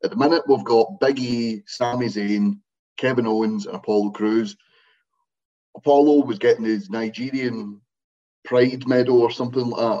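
A man's voice: medium pitch, darkish tone. A man co-hosting a podcast in casual chat, recapping matches with a dry, wry manner. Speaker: podcast co-host. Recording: dull lo-fi podcast mic.

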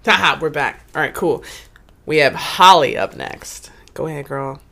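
A woman co-hosting a podcast in casual chat, animated and swearing freely, with a lot of "like". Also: low-pitched, moderate pace, gravelly. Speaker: podcast co-host.

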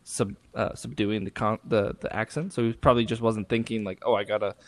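A man's voice: on the low side, steady tone, fairly quick. A man co-hosting a casual movie review podcast, swearing freely and trailing off mid-thought. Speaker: podcast co-host.

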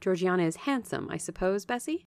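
A woman's voice: on the low side, expressive, fairly quick, gravelly, dry recording, clean studio sound. A woman voicing an eager, affectionate young governess in a storybook, asking questions in a genteel manner. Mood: inquisitive. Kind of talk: storybook character dialogue.

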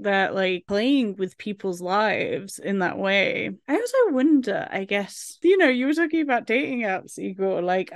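A woman's voice: medium pitch, no room sound, studio quality. A woman chatting casually as a podcast co-host, candid and self-reflective in an informal way. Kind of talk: casual chat discussion.

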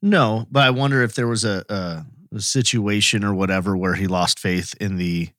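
A man's voice: fairly low pitch, moderate pace, a bit bright, no room sound, studio quality. A man co-hosting a podcast in casual conversation, musing aloud and asking questions. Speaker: podcast co-host.

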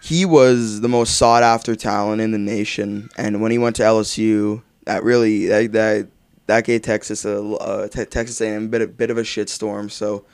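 Young man, podcast co-host, casual and animated, smooth voice, dry sound. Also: on the low side, moderate pace, no room sound. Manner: animated, loose, swears casually.

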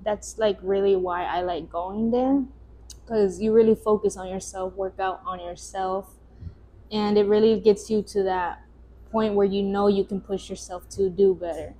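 A woman's voice: mid-range voice, steady tone, normal speed, mellow, a little hiss or hum. A woman of about twenty chatting casually as a podcast co-host. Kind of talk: casual chat.

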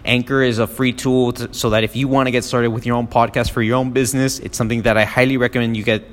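A man's voice: medium pitch, flat delivery, quick pace, in a large hall. A man from Miami talking alone as a podcast host, casual and rambling with many ums and restarts, recorded on a phone. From United States (Miami). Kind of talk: solo podcast monologue. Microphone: phone app.

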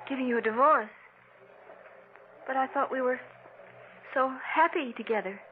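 A woman's voice: high voice, moderate pace, lightly acted. An actress playing an earnest, frightened woman menaced by a murderous man in a dramatic old-time radio thriller. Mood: anxious, hurt. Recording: tinny, noisy vintage radio recording.

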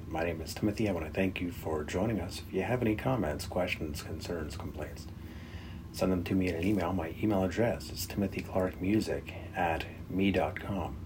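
A man hosting a hobby podcast, giving an unscripted radio walkthrough in a halting way with pauses mid-sentence. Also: deep voice. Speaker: podcast host. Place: bedroom at home. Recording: noisy bedroom recording with radio hiss. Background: loud radio noise.

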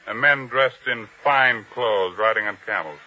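A man's voice: lowish voice, unhurried, sharp tone. An actor playing an ambitious, domineering palace officer in an old radio drama, commanding and menacing as he speaks. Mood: demanding. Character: ambitious, domineering male palace officer hunting three kings. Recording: dull old radio recording.

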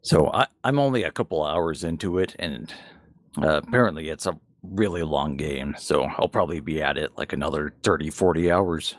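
A man's voice: rumbling low, moderate pace, no echo, studio quality. A man co-hosting a podcast, chatting casually and frankly about games and manga, with some swearing. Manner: profane, frank. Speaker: podcast co-host.

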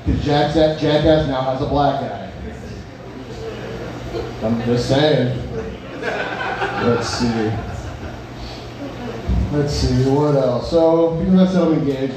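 A man's voice: mid-range voice, unhurried, pauses often. Crude young man doing rambling stand-up. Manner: crude, rambling, self-mocking, restarts jokes. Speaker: stand-up comedian. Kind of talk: open-mic stand-up set with crowd work. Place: bar open mic. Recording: echoey bar from far off, noisy.

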